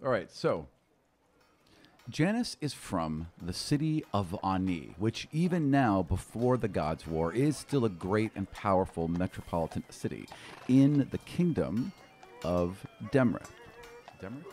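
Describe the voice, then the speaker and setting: A man's voice: low-pitched, very animated, measured pace, a little mellow, soft background music. A man working as game master, narrating a tabletop game in an informal, conversational, improvised way.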